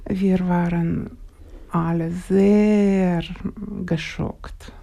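An older woman in her sixties with a Ukrainian accent talks slowly, with a gravelly, creaky voice. Her tone is dark and mellow. She searches for her words as a guest in a radio studio. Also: lowish voice, steady tone. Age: 60s. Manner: slow, halting, searching for words. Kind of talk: live studio interview.

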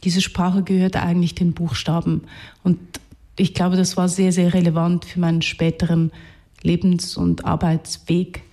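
A woman's voice: on the low side, little pitch movement, moderate pace, dark tone. A woman with a Swiss accent and Hungarian as her first language, speaking reflectively as an interview guest, searching for words and correcting herself as she goes. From Switzerland, Zurich region.

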